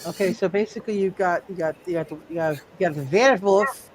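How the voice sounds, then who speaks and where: A man narrating a tabletop roleplaying game as game master, speaking haltingly and often repeating his words. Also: mid-range voice, a little hiss or hum, rough recording.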